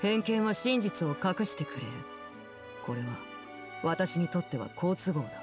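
Actress as low, cool commanding woman. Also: lively intonation, over music, natural voice. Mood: calm, guarded. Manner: composed, measured, formal and authoritative. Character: cool, commanding woman, aloof diplomat and stern guardian. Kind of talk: Japanese anime-game voice acting.

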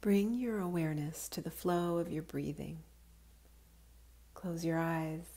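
Woman, yoga nidra guide, very slow, warm mellow tone, soft and breathy, calm, soothing meditation delivery. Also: sits low. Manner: calm, soothing, unhurried instruction.

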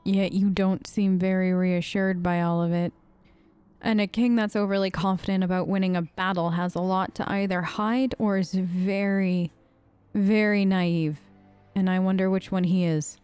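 A woman's voice: medium pitch, fairly even intonation, moderate pace, darkish tone, clear, resonant tone, no echo. A woman hosting a let's play, improvising in-character replies and musing commentary in a conversational way.

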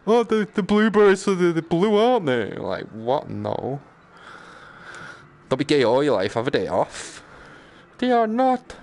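A man's voice: on the high side, sing-song, unhurried, pauses often, darkish tone. A man chatting casually on a live game stream, joking in a slangy, sweary way. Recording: clear background noise.

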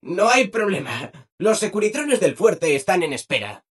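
A man's voice: high-pitched, sing-song, normal speed, slightly rough, full character voice. A man with a Spain Spanish accent voicing a chirpy, eager, obliging robot assistant in a video game. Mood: upbeat, informative. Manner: exaggeratedly chirpy, eager, polite. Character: relentlessly cheerful, obliging male robot assistant. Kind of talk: video game character dialogue.

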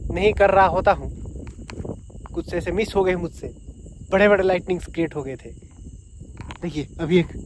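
A man's voice: high voice, a little mellow, low-quality recording. A man in his thirties talking casually to viewers while camping alone by a lake at night, explaining what he is doing as he works. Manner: chatty, explains as he works, addresses viewers as friends. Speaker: solo camping vlogger. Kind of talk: casual vlog narration to viewers. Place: outdoors by a lakeshore at night, thunderstorm. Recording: outdoor camera audio with wind and insect noise.

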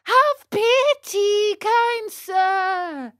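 Woman acting a high-pitched, earnest puppet boy. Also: extremely slow, a bit bright, no room sound, studio quality, full character voice. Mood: pleading. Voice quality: high, piercing. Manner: plaintive, earnest, stretched-out words. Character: small wooden puppet boy, pleading, brave, earnest. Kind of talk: children's storybook reading.

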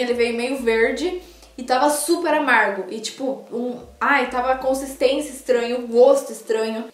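High-voiced young woman gushing over food. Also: reverberant. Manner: gushing, animated, addresses viewers directly. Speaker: food vlogger. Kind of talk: casual food tasting vlog. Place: small indoor room by a sofa.

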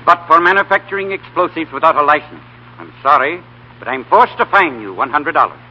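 An actor playing a stern but fair judge, formal and authoritative, in 1930s radio style. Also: male voice, a little high, lively intonation, fairly quick, pushed and bright, a little hiss or hum. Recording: muffled, humming 1930s radio recording.

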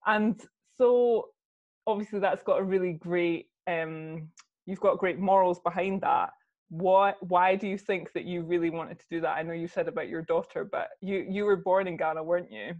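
A woman's voice: fairly low pitch, normal speed. Young woman host on a video call, lapel mic, encouraging, bit dull lo-fi sound. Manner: warmly encouraging, affirming. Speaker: host, interviewer. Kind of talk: casual interview over video call. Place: room at home.

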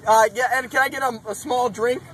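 A young man talking casually and haltingly, stalling as he orders like a customer from inside a car. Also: very high voice, fairly even intonation, fairly bright tone, a little hiss or hum, poor audio. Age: young adult. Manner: hesitant, stalling. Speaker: prankster customer at a drive-thru. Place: inside a car at a drive-thru. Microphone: handheld camera inside the car.

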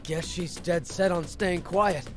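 An actor playing a gossipy army soldier on guard in a video game. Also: male voice, sits high, slow, over music. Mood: gossiping. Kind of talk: video game cutscene acting.

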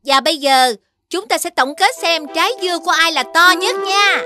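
A woman voicing a kindly schoolteacher in a children's cartoon, gently instructing young pupils. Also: extremely high pitch, moderate pace, heavy background noise. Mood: gently instructive.

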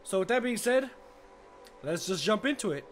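A man's voice: sits high, moderate pace. A young man with a sore throat and a partly lost voice, chatting casually as he plays a game and reacting with awe. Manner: awed, chatty, easily amazed. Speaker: gaming YouTuber. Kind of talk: casual let's play commentary. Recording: gameplay music underneath. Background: calm game music.

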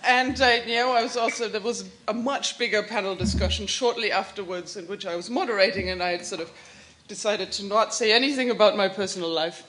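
A woman math professor speaking candidly about her life on a panel in a lecture room, open and animated. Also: medium pitch, fairly quick. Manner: open, animated, gestures while talking. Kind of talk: candid personal panel remarks.

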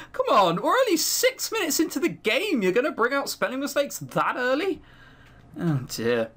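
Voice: medium pitch, fairly bright tone. A let's player reading a visual novel aloud, with theatrical delivery and teasing, exclaiming asides. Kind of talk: game narration with casual commentary.

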